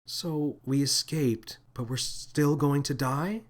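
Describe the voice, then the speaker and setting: A man's voice: mid-range voice, slow pace, pitched above the performer's own voice. A man voicing an earnest, anxious young girl in a children's sci-fi story, with a hesitant, pleading manner. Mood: worried.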